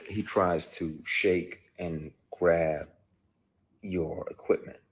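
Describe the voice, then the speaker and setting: Deep husky man telling it blunt. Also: fairly even intonation, drawn-out words. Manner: blunt, profane, emphatic. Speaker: actor. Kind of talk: candid personal storytelling.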